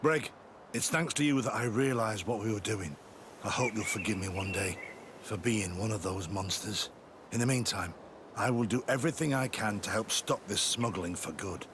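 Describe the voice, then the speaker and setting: A man's voice: fairly low pitch, normal speed, fairly bright tone, a little hiss or hum, natural voice. Actor as sober, dutiful male army sergeant. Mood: contrite, earnest. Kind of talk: scripted video game acting.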